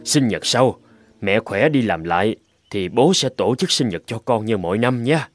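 A man voicing a gentle, reassuring father in a dubbed cartoon. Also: on the low side, animated, normal speed, no echo, faint music behind. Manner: warm, kindly, reassuring. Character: gentle, hardworking young father. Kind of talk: cartoon dubbing.

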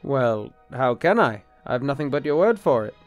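An actor playing an anxious, exasperated bishop in an audio drama, formal and hesitant in manner. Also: male voice, medium pitch, expressive, normal speed, soft background music, close to an everyday voice. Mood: skeptical. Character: anxious, exasperated, skeptical married male bishop. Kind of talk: radio-play style drama acting.

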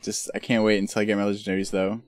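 A man with a lowish voice talks through a live game stream, close and dry at a home desk mic. He rambles in loose, joking asides and chats easily as he plays. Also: flat delivery, very fast, no room sound. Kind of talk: casual chat while gaming.

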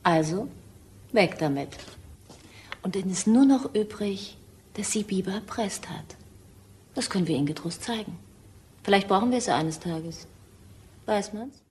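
An actress playing a determined, secretive mistress in a crime radio drama, speaking insistently. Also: female voice, medium pitch, lively intonation, a little slow, long pauses, darkish tone, echoey room, slight background noise, lightly acted. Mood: scheming. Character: determined, secretive mistress investigating her murdered friend.

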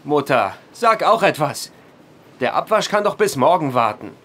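An actor playing a reluctant, cheeky young farm son in a radio drama, sardonic and protesting. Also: male voice, a little high, sing-song, moderate pace, a bit bright. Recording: noisy ambient background.

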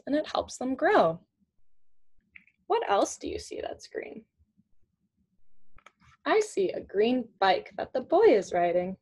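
Young woman reading slowly to children, lively pitch. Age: young adult, 20s. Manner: slow, simple, teacherly phrasing with questions. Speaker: student reading a picture book aloud to children. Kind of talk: children's read-aloud with questions. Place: small indoor room at home with shelves.

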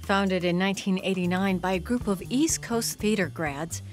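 Measured woman narrator over soft music. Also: mid-range voice. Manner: smooth, measured. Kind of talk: scripted documentary narration.

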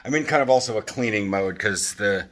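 A man in his thirties chatting casually in a vlog with an animated, jokey manner, recording on a phone at arm's length in an apartment. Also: on the low side, brisk. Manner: animated, jokey, acts out quotes. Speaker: vlogger. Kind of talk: casual rambling vlog chat. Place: open-plan apartment living room and kitchen.

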